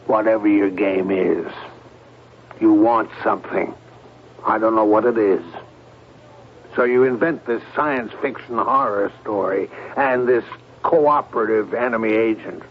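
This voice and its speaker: A firm, placating middle-aged spy boss in a radio drama. His voice is lowish and measured, on a dull, slightly hissy vintage broadcast. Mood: skeptical. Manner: measured, authoritative, persuasive. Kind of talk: 1970s radio drama acting.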